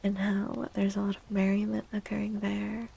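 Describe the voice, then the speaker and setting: A soft-spoken woman hosting an ASMR livestream and reading tarot, gentle and unhurried, often dropping into a breathy half-whisper. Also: medium pitch, completely flat delivery. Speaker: livestream host and tarot reader.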